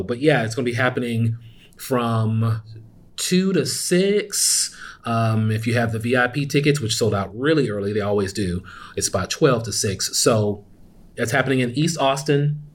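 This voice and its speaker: A man hosting a podcast, giving upbeat, conversational announcements in a casual solo style. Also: mid-range voice. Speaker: podcast host.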